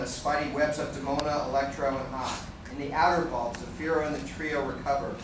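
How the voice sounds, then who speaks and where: Male radio play narrator in echoey hall. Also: mid-range voice. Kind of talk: scripted dramatic narration. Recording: echoey hall, distant mic, noisy.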